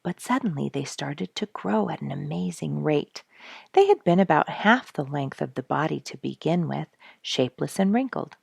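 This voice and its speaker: A woman narrating a storybook from a script, expressive, with dramatic emphasis. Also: sits low, normal speed, creaky, husky, dry recording, clean studio sound. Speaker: storyteller, show host.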